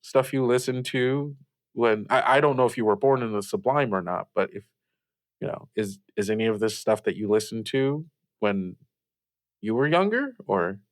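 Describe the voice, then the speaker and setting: A man's voice: on the low side, animated, moderate pace, close to an everyday voice. A man playing an awkward, eager teenage boy hosting a late-night radio call-in show in an improvised audio drama, stammering, polite and easily flustered.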